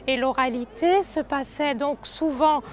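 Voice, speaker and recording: Gesucht: hohe Frauenstimme, ruhig erklärend. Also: female voice, high-pitched, unhurried. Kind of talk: interview.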